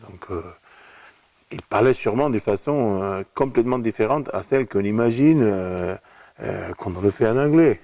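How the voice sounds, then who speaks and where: A man's voice: on the low side, moderate pace, warm tone, dry recording. A middle-aged man from Argentina with an Argentine Spanish accent, a guest speaking thoughtfully in an interview and often repeating words as he searches for them. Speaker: opera singer guest. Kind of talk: relaxed interview talk. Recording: voice from a distant mic.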